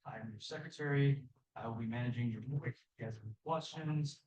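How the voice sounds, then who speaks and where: Young man with a mellow voice. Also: mid-range voice, fast talker, low-quality recording. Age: young adult. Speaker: student club secretary.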